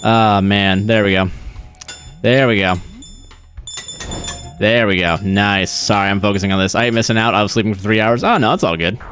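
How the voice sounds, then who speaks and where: A man's voice: on the low side, steady tone, normal speed, long pauses, fairly bright tone, faint music behind. A man streaming a game, chatting casually with his viewers, swearing freely and laughing often. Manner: profane, laughs often, chatty asides to chat. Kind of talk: casual live-stream chat while gaming.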